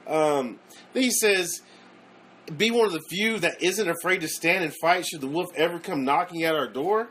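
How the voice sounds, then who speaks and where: A man's voice: on the high side, normal speed, background noise. A man ranting casually in a played video clip, rambling, profane and full of restarts. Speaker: YouTuber.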